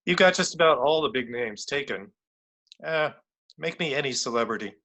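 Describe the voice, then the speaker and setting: Male actor as police lieutenant, forceful sharp tone, medium pitch, gruff, incredulous, wry, radio drama. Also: lively intonation, normal speed. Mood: wry, exasperated. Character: gruff, exasperated New York police lieutenant. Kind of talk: old-time radio drama acting.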